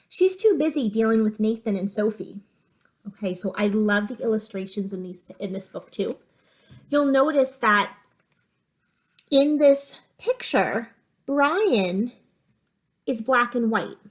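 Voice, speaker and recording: A woman teacher giving a reading lesson for young children, reading a picture book aloud and explaining it in simple terms. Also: medium pitch. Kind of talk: reading lesson with storybook read-aloud and asides.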